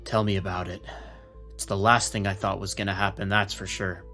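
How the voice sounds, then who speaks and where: A low, gruff police captain, acted by a man, shrewd and streetwise. He talks plainly and with command, in a noir audio drama over faint music. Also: level tone, fairly quick, sharp tone. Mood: frank, world-weary.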